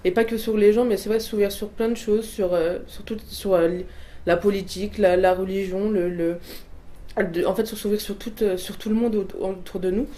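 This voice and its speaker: Hesitant young woman, mellow voice. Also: medium pitch, fairly even intonation, normal speed. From Portuguese family background. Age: 20s. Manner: hesitant, many fillers and restarts. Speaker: interviewee giving a testimony. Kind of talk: personal testimony, answering questions.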